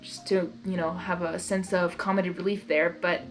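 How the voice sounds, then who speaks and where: A woman reading fan fiction aloud as narrator, expressive and excitable, with casual reactions. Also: lowish voice, moderate pace, faint music behind.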